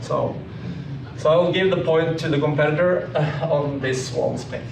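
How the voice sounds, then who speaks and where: A man giving an informal technical slide presentation, explaining in a halting way. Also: on the high side. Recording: echoey room, distant mic, clearly noisy.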